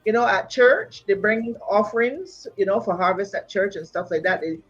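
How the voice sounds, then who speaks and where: A woman from Barbados, a singer and entrepreneur, talking in an animated, casual chat full of "you know". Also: medium pitch, moderate pace, slightly rough, highs cut off.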